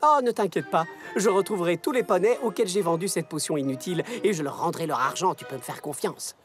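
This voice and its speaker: A woman voicing a smooth-talking pony salesperson with a conscience, expressive and confiding, in a French-dubbed children's cartoon. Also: fairly low pitch, quick pace, soft background music, lightly acted. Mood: contrite.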